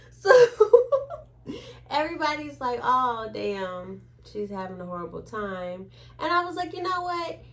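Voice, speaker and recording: A woman telling a story in a casual chat, acting out the quoted dialogue as she goes. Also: medium pitch. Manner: animated retelling with acted-out quotes.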